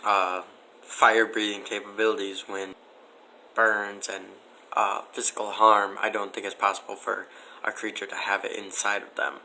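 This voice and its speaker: A man as a podcast guest in a casual debate, skeptical and argumentative, with halting delivery. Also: on the low side, little pitch movement, creaky, bright, forceful tone, a little hiss or hum, poor audio.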